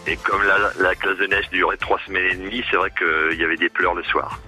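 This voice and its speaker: An older man with a Breton accent, a retired teacher calling in to a radio show from outdoors in the wind, telling his story slowly with many fillers and a dry sense of humor. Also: sits low, flat delivery, sharp tone, soft background music. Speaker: caller, retired primary school teacher. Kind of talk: casual phone-in chat. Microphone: phone call.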